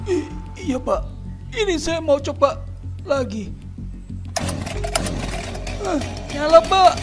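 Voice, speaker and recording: Man doing a squeaky, panicky young worker. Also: sing-song, slow pace, music in the background, exaggerated voice. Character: frightened young male logging worker.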